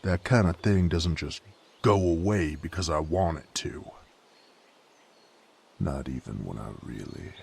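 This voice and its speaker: A man playing a gruff, weary sadist knight in a roleplay audio drama, speaking in rough, folksy, halting phrases with a gravelly voice. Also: noisy background, exaggerated voice. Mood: brooding, confessional. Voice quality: gravelly, very deep. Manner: rough rustic folksy speech, brooding, halting. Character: sadist knight, gruff former carnival drifter, weary and brooding.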